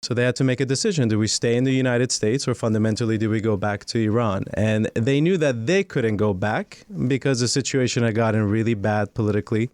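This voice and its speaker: A man's voice: lowish voice, fairly quick, hardly any pauses, darkish tone, no room sound. A man, a lawyer and guest, telling his life story in a studio podcast interview, articulate and earnest.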